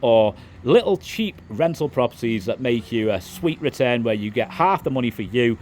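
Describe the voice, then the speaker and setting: A middle-aged man with a softened Liverpool accent, chatting loosely to camera as a vlogger, with lots of restarts. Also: mid-range voice, lively intonation, moderate pace, slight background noise. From England. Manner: rambling, frequent restarts and "it is what it is". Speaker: vlogger, property developer. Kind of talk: casual monologue to camera.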